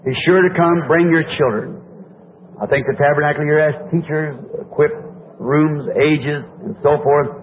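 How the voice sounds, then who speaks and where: Hoarse older preacher on old tape. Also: male voice, fairly high pitch, steady tone, moderate pace. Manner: folksy, rambling, asks the congregation questions. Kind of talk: sermon. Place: church meeting. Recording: tinny lo-fi old tape with faint hiss.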